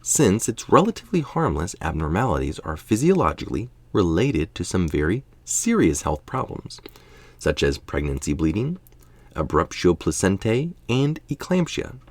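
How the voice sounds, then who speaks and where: A man's voice: low-pitched, big pitch swings, normal speed, a little mellow, dry recording. A tired middle-aged man reading an article aloud, informal, with casual asides. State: tired late at night. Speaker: article reader.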